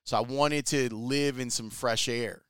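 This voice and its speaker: A man's voice: medium pitch, fairly even intonation, measured pace, a bit bright, clear, resonant tone, no room sound, studio quality. A man casually telling a travel story in a chatty, enthusiastic way, using slang. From Chicago, US. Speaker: sports reporter.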